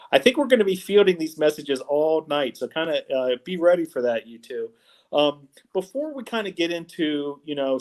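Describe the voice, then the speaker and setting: Middle-aged man, host, fairly high voice, upbeat, lots of uh, video call. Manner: upbeat, many uh fillers. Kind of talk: college sports video-call show. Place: office with wall logo.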